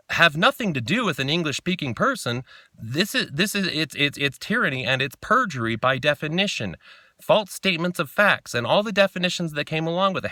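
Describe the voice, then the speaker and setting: A man's voice: fairly high pitch, sharp tone, no room sound, studio quality. An American man making an argumentative complaint on a phone call, reciting law in an insistent, emphatic, lecturing way. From Idaho, United States. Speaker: caller, activist.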